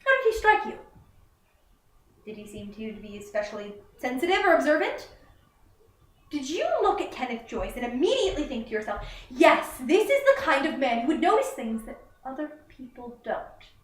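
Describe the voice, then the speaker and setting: A young woman playing an impassioned, indignant prosecutor who addresses a jury deliberately and rhetorically in a dramatic monologue. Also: high-pitched, very animated. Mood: pointed, reasoning. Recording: roomy, echoing, far from the mic.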